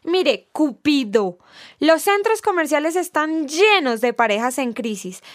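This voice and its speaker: A Colombian-accented woman with a high voice, recorded close and dry. She delivers scripted radio dialogue with sardonic, scolding indignation. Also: very animated, a little slow, no room sound. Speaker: radio presenter.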